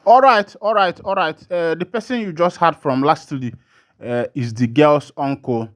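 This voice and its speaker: A man's voice: on the high side, normal speed. A man presenting news commentary in a chatty, casual way.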